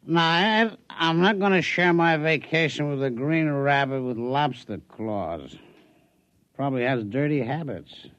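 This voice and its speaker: A pompous, skeptical hunter on vacation in a vintage radio comedy. His grumbling, comic delivery swings widely in pitch, on a dull, dry old recording. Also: animated, a little slow, fairly bright tone, no room sound. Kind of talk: 1950s radio comedy acting.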